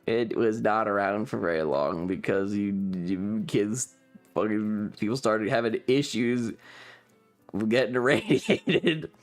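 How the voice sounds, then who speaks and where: A man's voice: lowish voice, takes it slowly. A man streamer casually recounting a trip in a rambling, sweary, amused way, with music behind him.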